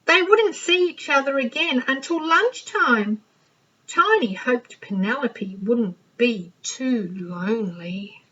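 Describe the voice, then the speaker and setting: A woman librarian reading a children's storybook aloud with expressive narration, on a slightly dull recording. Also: on the high side, slow, husky.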